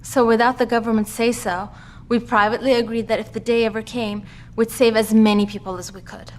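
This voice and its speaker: A woman plays a calm, resolute guardian in an audio drama. Her voice is somewhat high, with a solemn, steadfast character. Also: brisk, lightly acted.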